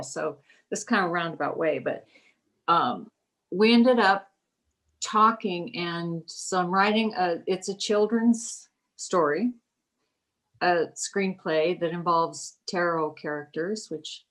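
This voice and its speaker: A fairly low-voiced woman in her sixties talks over a video call. She is chatty and easygoing in a casual interview, laughing lightly between thoughts. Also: a bit bright. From Kansas, US Midwest. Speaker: guest, writer.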